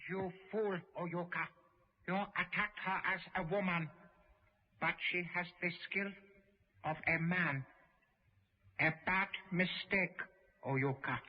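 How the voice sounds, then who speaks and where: Stern Japanese dojo master, radio drama. Higher-set male voice, deliberate and sententious, husky. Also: steady tone, heavily acted. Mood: scolding. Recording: muffled vintage radio recording.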